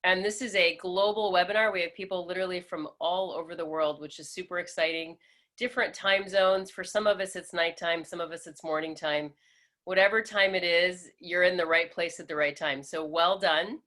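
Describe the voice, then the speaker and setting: A woman's voice: lowish voice, fairly even intonation, moderate pace, a bit bright. A woman from Florida hosting a webinar over a video call, upbeat and welcoming. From Florida, USA. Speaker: webinar host.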